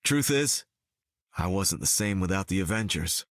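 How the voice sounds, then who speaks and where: An actor playing a confident, wisecracking superhero archer in a video game, with casual, wry American banter. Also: male voice, sits low, lively intonation, normal speed, fairly bright tone, no echo, clean studio sound. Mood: focused.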